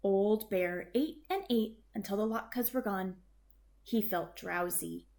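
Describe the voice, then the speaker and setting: A woman narrating a children's story in an expressive, deliberate storytelling manner. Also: mid-range voice, gravelly.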